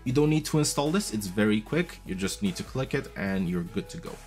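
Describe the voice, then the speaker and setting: A man with a low voice gives a relaxed, chatty tutorial, moving patiently through each step. His pitch swings up and down a lot as he talks, and soft music plays under him. He sounds close to a desk mic in a small room. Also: fairly quick. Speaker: tech video host. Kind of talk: casual tutorial walkthrough. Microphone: studio mic on a boom arm, wearing headphones.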